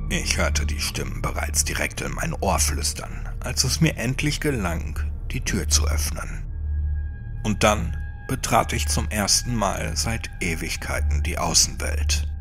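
A man reads a first-person horror tale like a dramatic audiobook. His low voice moves expressively up and down and has a gravelly grain. The delivery is brooding and intense, often dropping toward a whisper. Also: a bit bright. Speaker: horror story narrator. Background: music.

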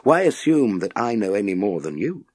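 A man playing a world-weary, authoritative former astronaut with a wry, intense, deliberate manner. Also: fairly low pitch, big pitch swings, darkish tone, breathy edge, no echo, clean studio sound. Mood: brusque, wry.

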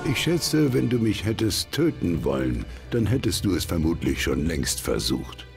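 An actor playing an older, estranged father in a video game, brooding and challenging, speaking deliberately. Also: sits low, big pitch swings, vocal fry, music in the background, heavily acted. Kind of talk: dramatic video game acting.

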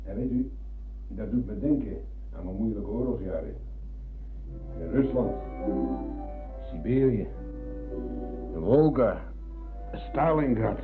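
An actor playing a rambling, philosophising older husband and embittered war veteran in a radio drama. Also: male voice, fairly low pitch. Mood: bitter, brooding. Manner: philosophising, theatrical, ironic. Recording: tinny, narrow-band old radio recording.

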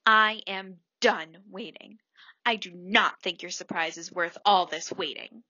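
A woman's voice: lowish voice, measured pace, sharp tone, no echo, muffled recording. A woman voicing an anxious, impatient male elephant who groans and complains in a children's picture book. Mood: fed up.

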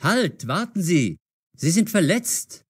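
A man's voice: sits high, big pitch swings, slow pace, breathy edge, exaggerated voice. An actor playing an impatient, easily annoyed fifteen-year-old boy in an audio drama, grumbling and exclaiming. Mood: agitated.

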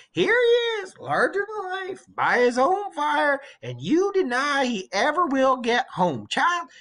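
A man voicing an excited, insistent old nursemaid in a storybook reading, exclamatory and emphatic. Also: very high-pitched, pitched above the performer's own voice, full character voice. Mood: insistent, exasperated.

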